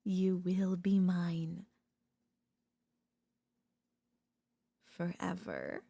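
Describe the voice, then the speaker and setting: A woman voice actor playing an obsessive older female professor in an ASMR audio roleplay, coaxing and deliberate, with dramatic pauses. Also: on the low side, little pitch movement, creaky, dark tone, exaggerated voice. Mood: possessive, menacing.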